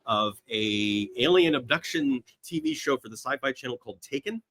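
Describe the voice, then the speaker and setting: A man's voice: mid-range voice, animated, normal speed, a bit bright. A man telling film-history anecdotes casually and emphatically as a podcast guest, often slipping into quoted dialogue.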